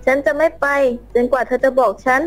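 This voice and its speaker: A woman's voice: a little fast, soft background music, natural voice. A woman voicing a sweet but insistent, easily hurt schoolgirl in a cartoon fan drama. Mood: worried, insistent.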